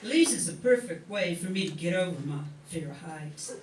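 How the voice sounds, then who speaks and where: A woman's voice: lowish voice, lively intonation, brisk, a little hiss or hum, lightly acted. A woman playing an eager young wartime factory worker in an amateur radio play. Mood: eager, proud. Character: eager young Atlanta-born 1940s crane and riveting worker. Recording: echoey room, distant mic, faint music.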